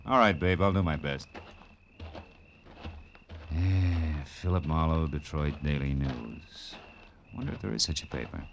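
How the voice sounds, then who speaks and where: A man's voice: extremely low pitch, lively intonation, unhurried, creaky, pauses often, heavily acted. An American man acting a laconic, wry private detective in a 1940s-style radio drama. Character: wry private detective, male, posing as a newspaper reporter. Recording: hissy vintage radio recording.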